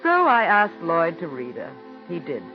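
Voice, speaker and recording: An actress in her thirties playing a calm, married playwright's wife who narrates, speaking in a poised, measured way in a 1940s radio drama. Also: on the low side. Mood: reflective. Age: 30s. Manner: poised and measured, gently firm. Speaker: narrator and lead character. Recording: dull old radio broadcast with faint music.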